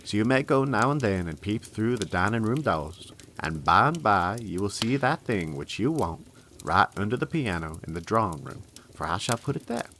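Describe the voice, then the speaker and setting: A man's voice: fairly low pitch, big pitch swings, normal speed, fairly bright tone, a little hiss or hum. An actor playing a kindly, playful Santa Claus reading his letter fondly and expressively to a child. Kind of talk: dramatic reading of a letter in audio drama.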